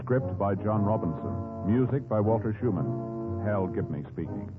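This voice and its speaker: Deep formal American radio announcer, man. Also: animated, moderate pace, phone-quality sound. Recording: vintage radio, thin band, loud music.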